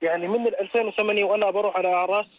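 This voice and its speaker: A man's voice: high-pitched, fairly even intonation, rapid-fire, no room sound, narrow, tinny audio. A man in his thirties with a Jordanian Arabic accent, chatting casually and telling anecdotes as a caller. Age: 30s. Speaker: radio show caller. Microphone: phone call.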